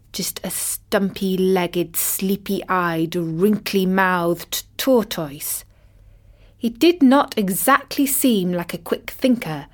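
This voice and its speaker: A woman voicing a wry old vulture who narrates an animal fable in a measured, dramatic storytelling style. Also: medium pitch. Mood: wry, knowing. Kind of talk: scripted storytelling in character.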